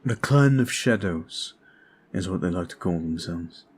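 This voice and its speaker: A man playing a calm, courteous, protective vampire in an ASMR roleplay, soft-spoken and halting, with formal phrasing. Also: sits low, slight background noise. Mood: grave, confiding. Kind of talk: ASMR roleplay audio drama.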